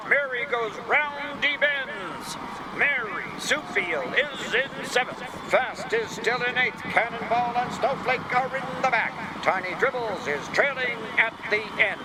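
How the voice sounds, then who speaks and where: Male sports commentator acted by a story reader, very high-pitched, eager play-by-play for a children's storybook, noisy surroundings. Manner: punning, building play-by-play delivery. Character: excitable male race commentator calling a children's animal race.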